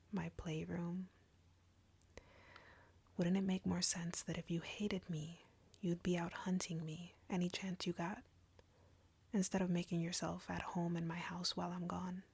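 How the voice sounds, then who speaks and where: A very breathy, husky female vampire played by a woman in an intimate audio roleplay. She speaks slowly in a taunting, seductive whisper with a gravelly crackle, arrogant and cruel as she flirts with her prey. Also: fairly low pitch, darkish tone, heavily acted. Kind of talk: intimate scripted audio roleplay addressed to the listener.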